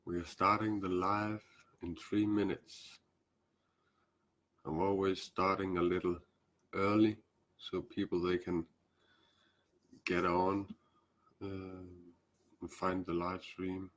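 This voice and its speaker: A man's voice: deep voice, level tone, a bit bright. A man with a Danish accent speaking English as a second language, hosting a casual spiritual live stream with many hesitant fillers. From Denmark. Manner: hesitant, fills pauses with "uh" and "um".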